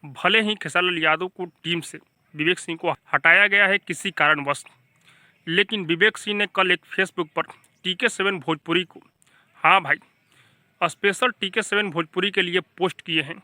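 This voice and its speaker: A man's voice: sits high, normal speed, sharp tone, dry recording. A man with a Bhojpuri accent giving informal, emphatic gossip news commentary as a channel host, often addressing viewers like brothers.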